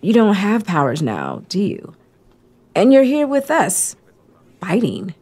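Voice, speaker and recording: A woman's voice: mid-range voice, wildly swooping pitch, takes it slowly, darkish tone, breathy edge, exaggerated voice. A woman acting in an audio drama as a blunt, world-weary young resistance fighter with a wry, frank way of talking.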